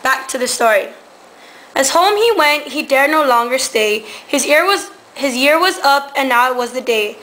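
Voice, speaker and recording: A woman's voice: sits high, expressive, moderate pace, a bit bright, poor audio. A young woman reading scripted storytelling narration for a student puppet show. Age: teenager. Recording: amateur recording with faint noise.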